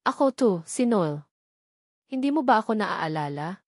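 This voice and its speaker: A woman's voice: medium pitch, sing-song, unhurried, no echo, clean studio sound. A woman with a Filipino accent voicing a heartbroken, pleading abandoned husband in an audio drama story. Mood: desperate. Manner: pleading, emphatic. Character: heartbroken, desperate abandoned husband, adult man.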